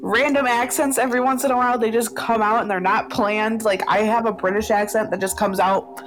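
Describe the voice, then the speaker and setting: A woman's voice: on the high side, steady tone, brisk. Curious woman player, music behind. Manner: curious, questioning. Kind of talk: casual game chat.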